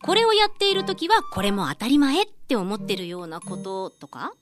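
A woman's voice: medium pitch, very animated, a little fast, music in the background, close to an everyday voice. A woman acting an exasperated, teasing young woman in an anime-style radio drama, with dry retorts and theatrical delivery. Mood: dry, patient.

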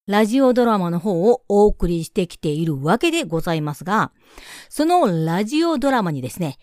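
A woman hosting a radio show, bantering casually and teasing her guests with quick comebacks. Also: medium pitch, darkish tone, no room sound, clean studio sound. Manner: playful teasing straight-man retorts.

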